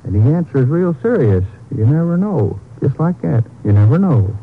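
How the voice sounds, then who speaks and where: A man's voice: on the high side, big pitch swings, moderate pace, breathy edge, heavily acted. A man playing an eager, folksy small-town hotel clerk in a 1950s radio drama, with a Texan accent and a rambling, deferential drawl. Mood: chatty, confiding. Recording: muffled vintage radio recording.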